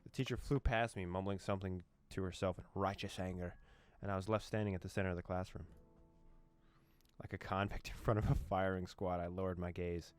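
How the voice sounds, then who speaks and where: Deep-voiced man narrating a game. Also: steady tone, a little fast, faint music behind. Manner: dramatic narration with amused asides. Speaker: gaming YouTuber. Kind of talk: reading a visual novel aloud with commentary.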